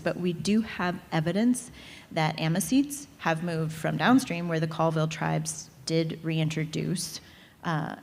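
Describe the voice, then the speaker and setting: Low gravelly woman in a hall. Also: a little mellow. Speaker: host and presenter. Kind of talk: conference panel moderation. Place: large conference hall. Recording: reverberant hall.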